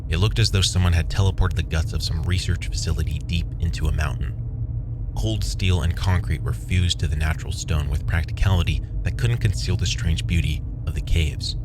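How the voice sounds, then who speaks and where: A man's voice: very deep voice, background noise. A man narrating a scripted first-person horror story as its narrator. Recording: loud music under the voice. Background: loud background music.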